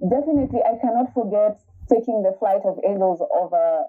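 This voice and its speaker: A young woman with a Kenyan accent tells her travel stories in loose, friendly chat, often repeating words as she goes. Her voice is husky and thin, squeezed through a tinny phone-like line. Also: medium pitch, a little fast. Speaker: travel blogger, guest. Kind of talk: casual interview chat.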